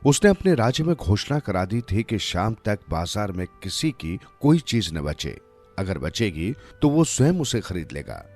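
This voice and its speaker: A man reading scripted moral tales as a storyteller, in a measured, expressive way. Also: on the low side. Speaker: storyteller narrator. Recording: music under the voice.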